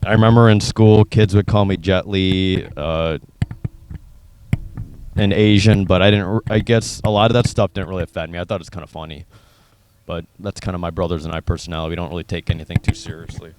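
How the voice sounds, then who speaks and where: A man's voice: sits low, fairly even intonation, fairly quick, a little mellow, clear, resonant tone. An American man interviewing in a casual, loosely phrased, conversational way. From Minnesota, United States. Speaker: interviewer sharing his own experience.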